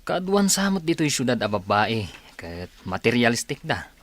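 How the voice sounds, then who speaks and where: Man acting a lively-pitched, earnest Filipino son. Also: moderate pace, lightly acted. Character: concerned younger son. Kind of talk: Ilocano radio drama acting.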